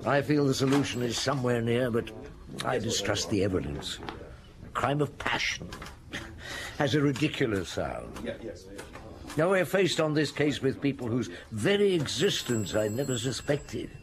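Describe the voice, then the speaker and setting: An actor playing a thoughtful, courteous chief police inspector with a measured, hesitant manner in an old radio drama. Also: male voice, medium pitch, sing-song, background noise. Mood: pondering.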